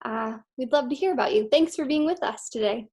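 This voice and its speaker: A woman's voice: high voice, fairly quick, a little mellow, breathy edge. A woman hosting an interview, upbeat and encouraging, with short affirmations. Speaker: interview host.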